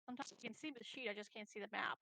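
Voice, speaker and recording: Higher, slightly gravelly woman playing a game. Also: very fast, sharp tone, slight background noise, low-quality recording. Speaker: tabletop game player. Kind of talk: casual game chat.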